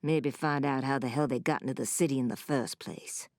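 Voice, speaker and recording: A woman's voice: rumbling low, brisk, darkish tone, dry recording, clean studio sound. An actress voicing a tough, commanding woman sheriff in a video game, blunt and folksy, with a drawl. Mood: brisk, weary.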